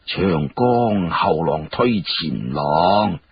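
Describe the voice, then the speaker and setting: A man voicing a dignified old chancellor in his seventies in a Cantonese story, measured and savouring his words. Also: low-pitched, animated, muffled recording, slight background noise, voice pushed down, heavily acted. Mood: approving.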